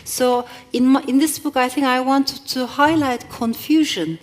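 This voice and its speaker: A woman's voice: sits high, fairly even intonation. A middle-aged woman author whose first language is not English, speaking thoughtfully at a live event and reading from her novel. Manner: reflective, with measured pauses and occasional restarts. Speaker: author and guest.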